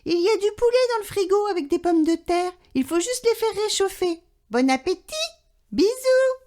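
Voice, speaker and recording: A woman's voice: very high-pitched, expressive, normal speed, pitched above the performer's own voice. A woman voicing a cheerful mother leaving a breezy phone message. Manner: bright, breezy, affectionate.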